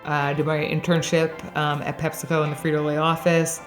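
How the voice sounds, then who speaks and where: A woman's voice: sits low, level tone, moderate pace, soft background music. A woman in her forties from Texas, sharing her faith story in a chatty way, laughing often and using lots of fillers. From Dallas area, Texas. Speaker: interviewee. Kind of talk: personal faith testimony.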